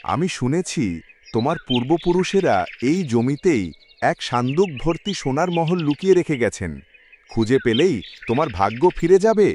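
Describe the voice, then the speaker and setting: A man's voice: fairly high pitch, expressive, normal speed, natural voice. A man voicing a knowing talking animal who gives advice in a cartoon folk tale, in a dramatic manner. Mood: knowing, advising. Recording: loud music under the voice. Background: music.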